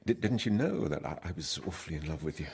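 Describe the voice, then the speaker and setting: An actor playing a shy, earnest, awkward gentleman in a period radio drama, halting, stammering and stiffly formal. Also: low voice, lively intonation, gravelly, a little hiss or hum. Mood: nervous, earnest. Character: shy, awkward, earnest colonial suitor and dull husband.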